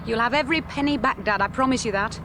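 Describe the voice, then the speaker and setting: A woman's voice: on the high side, fast talker, close to an everyday voice. An actress playing a determined young woman aviator in a radio play, earnest and insistent. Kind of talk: 1970s radio drama. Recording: noisy background ambience.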